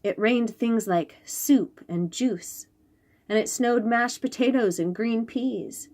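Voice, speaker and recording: A woman reading a picture book aloud to young children in an expressive way, with chatty asides and questions about the pictures. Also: a little high. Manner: expressive, pauses to point out pictures and ask questions. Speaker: children's read-aloud host.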